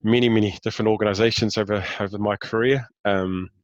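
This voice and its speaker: A New Zealand man in his fifties with a very low voice, answering interview questions in a relaxed, halting way. He fills his answers with ums and restarts as he gathers his thoughts. The sound is close and dry. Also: no room sound, muffled recording. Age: 50s. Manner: hesitant, many fillers and restarts. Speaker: interview guest. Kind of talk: casual interview talk.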